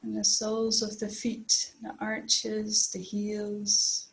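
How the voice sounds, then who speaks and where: A woman leading a guided body scan meditation as a teacher, speaking gently and unhurriedly with long pauses. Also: mid-range voice, monotone, vocal fry, poor audio.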